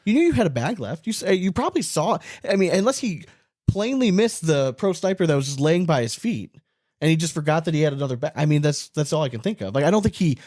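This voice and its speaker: A man's voice: fairly high pitch, sing-song, fast, a little mellow, dry recording, studio quality. A man co-hosting a sports podcast, talking casually and animatedly, rambling and swearing for emphasis. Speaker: podcast co-host.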